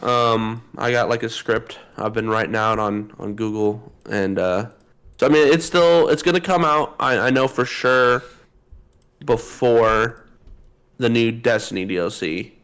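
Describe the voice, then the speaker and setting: A man co-hosting a podcast in casual chat, his voice slightly hoarse from a sore throat. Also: medium pitch, measured pace, fairly bright tone. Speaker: podcast co-host.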